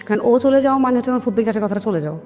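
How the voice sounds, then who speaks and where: A woman's voice: on the high side, lively intonation, rapid-fire, mellow. A woman with a Bangladeshi accent telling her life story in an interview, speaking earnestly and emphatically and acting out remembered dialogue. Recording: long reverb, music behind. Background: music.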